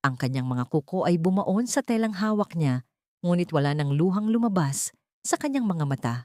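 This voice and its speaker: Low-voiced Filipino woman, solemn dramatic narrator. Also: expressive, moderate pace, darkish tone, no echo. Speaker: audio story narrator. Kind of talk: scripted dramatic narration of a romance revenge story.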